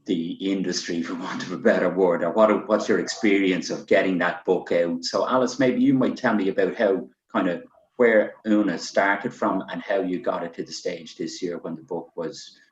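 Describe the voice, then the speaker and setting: A man's voice: sits low, moderate pace. A man hosting a literary panel, talking in a rambling, hesitant, encouraging way. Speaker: event host. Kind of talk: literary panel moderation, unscripted chat. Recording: roomy, dull lo-fi sound.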